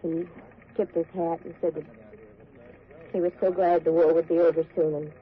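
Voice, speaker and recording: Young officer's wife actress, weary, subdued, earnest; 1940s radio drama, thin noisy recording. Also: female voice, low voice, little pitch movement, normal speed, phone-quality sound, close to an everyday voice.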